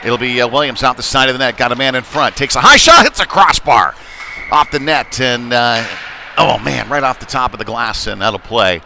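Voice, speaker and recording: A man's voice: mid-range voice, moderate pace. A man doing live play-by-play commentary of an ice hockey game in a casual, unpolished, conversational way. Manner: excited calls when play heats up. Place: indoor ice rink. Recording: noisy live rink stream, clear background noise. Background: rink ambience and noise.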